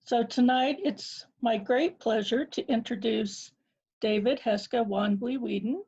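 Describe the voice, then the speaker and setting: A woman's voice: on the high side, measured pace, husky, dull audio. A woman introducing a guest speaker, reading his credits and apologizing for her pronunciation. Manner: warm, apologetic about pronunciation. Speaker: program director introducing the speaker.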